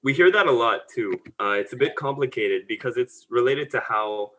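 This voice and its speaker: A man co-hosting a podcast, explaining in a measured, careful way. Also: medium pitch, expressive, bright, forceful tone. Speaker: podcast co-host. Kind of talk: casual chat.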